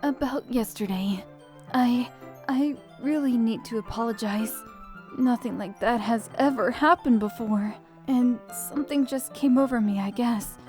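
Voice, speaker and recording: A woman's voice: fairly high pitch, a little slow, a little mellow, soft background music, close to an everyday voice. A woman voicing a shy, anxious teenage schoolgirl in an anime visual novel fan dub, hesitant, stammering and polite. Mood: apologetic.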